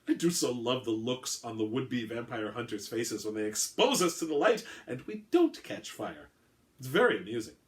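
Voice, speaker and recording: A man's voice: mid-range voice, a bit bright, natural voice. A man voicing a suave, haughty centuries-old vampire with formal, pompous phrasing, in a dramatic story reading. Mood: dry, amused. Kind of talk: dramatic reading of an all-dialogue short story.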